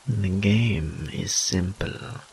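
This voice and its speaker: Man voicing a slow, deep, whispery incubus demon. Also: a little mellow, slight background noise, full character voice. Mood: teasing, coaxing. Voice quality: breathy, often dropping into whisper. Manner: slow, teasing, drawn-out phrases with pauses. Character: seductive arrogant male incubus demon, taunting and predatory. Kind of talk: intimate roleplay audio drama.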